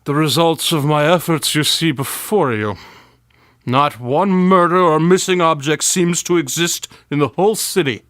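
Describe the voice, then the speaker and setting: An actor playing a pompous, melodramatic, tipsy detective in a radio drama, wordy and theatrical. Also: male voice, on the high side, moderate pace, fairly bright tone, dry recording, studio quality, natural voice. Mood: boastful, tipsy. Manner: theatrical, wordy, self-important.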